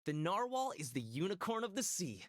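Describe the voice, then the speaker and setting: A woman playing a lively, friendly young guide in a children's learning game, narrating in sing-song rhyme. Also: fairly low pitch, normal speed, no echo, clean studio sound. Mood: cheerful. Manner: sing-song rhyming delivery. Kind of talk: scripted rhyming children's educational narration.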